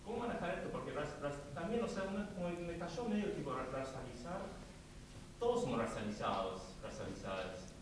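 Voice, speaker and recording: A hesitant man whose first language is not Spanish asks the panel a question, halting and restarting as he searches for words. He is picked up from far off in a big, echoing hall, with a steady noise behind him. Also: a little high. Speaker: audience member asking a question. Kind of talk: casual spoken question at a panel. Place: large echoey hall. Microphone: distant room mic.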